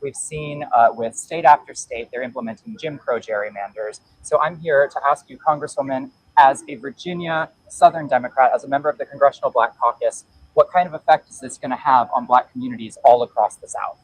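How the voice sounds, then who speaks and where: A man's voice: mid-range voice, a little fast, few pauses, no echo, rough recording. A man interviewing a guest in a friendly, upbeat way.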